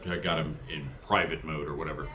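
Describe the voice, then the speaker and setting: A man's voice: rumbling low, flat delivery, fast talker, sharp tone. A man playing a tabletop game in casual, joking chat.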